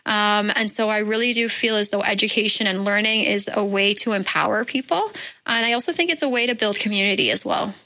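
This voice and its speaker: A woman's voice: mid-range voice, brisk, bright, forceful tone, rough recording. A woman from the Bahamas, a guest in a radio studio interview, answering thoughtfully with frequent "um" fillers. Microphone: studio microphone.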